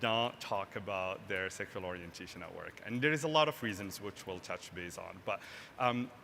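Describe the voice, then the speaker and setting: A man's voice: low-pitched, moderate pace, creaky, bright, forceful tone. A man from Morocco, a tech community founder being interviewed on stage, talking earnestly and often checking in with "right?". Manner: earnest, frequent "right?" tag questions. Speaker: tech nonprofit founder, guest. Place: large hall, live event stage. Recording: echoey hall, faint noise.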